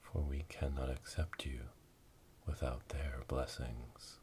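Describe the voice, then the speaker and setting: Guy doing whispery asmr witch ritual, super deep n slow, solemn, faint rumble behind. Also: little pitch movement, darkish tone. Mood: solemn, hushed. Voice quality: breathy, whispering. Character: solemn witch elder leading an initiation rite. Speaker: ASMR roleplay performer. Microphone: close binaural microphone. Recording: close binaural, rumbling noise, clicks.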